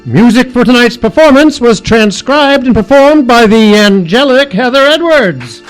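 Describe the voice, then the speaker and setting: Very high-pitched male radio announcer. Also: animated, measured pace, low-quality recording. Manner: theatrical, effusive introductions. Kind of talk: old-time radio announcing. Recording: music behind, live stage performance.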